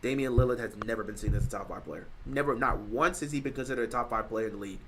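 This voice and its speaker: A man's voice: mid-range voice, quick pace, background noise. A man hosting a casual football talk show, arguing his points emphatically and repeating himself. He is tired and losing his voice. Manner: emphatic, repeats points, rising to loud stresses. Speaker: sports show host. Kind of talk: casual sports talk, answering live chat.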